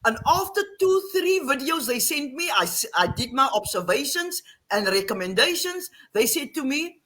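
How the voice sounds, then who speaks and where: A middle-aged woman from the Western Cape with an Afrikaans South African accent, telling her story in animated casual talk on a video call. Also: sits high, normal speed. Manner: animated, repeats phrases, laughs often. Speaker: guest, online English teacher.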